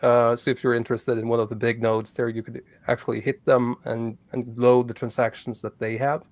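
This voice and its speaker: A man speaking as a guest on a livestream, explaining his project in a halting way with many fillers. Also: medium pitch, level tone, no room sound. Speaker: guest, crypto project developer. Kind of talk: casual livestream chat.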